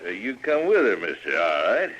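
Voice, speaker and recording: A man's voice: sits low, huge pitch swings, moderate pace, lo-fi sound. A man playing a drunken, bullying cowboy villain with forced joviality and an American accent in a 1950s radio western. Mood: boozy, leering. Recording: vintage radio recording, faint noise.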